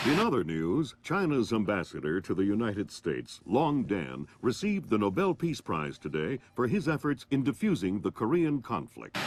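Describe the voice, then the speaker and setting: A man playing a formal, serious television news anchor reading a bulletin in a video game. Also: on the low side.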